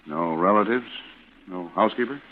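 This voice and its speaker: Actor as a male investigator, low voice, polite, probing, hesitant, matter-of-fact, old radio suspense, tinny recording with faint noise. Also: moderate pace, close to an everyday voice. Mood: probing, puzzled. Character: polite, probing small-town official investigator. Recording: noisy, tinny vintage radio broadcast.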